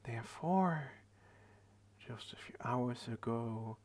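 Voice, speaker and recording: A tired young man hosting a late-night ASMR video, rambling in a soft half-whisper into a headset microphone at his computer desk. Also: medium pitch, slow. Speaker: channel host.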